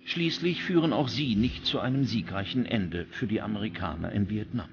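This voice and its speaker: A man's voice: lowish voice, moderate pace, vocal fry, highs cut off, noisy background. A man reading scripted documentary narration as a narrator. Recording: music under the voice. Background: background music.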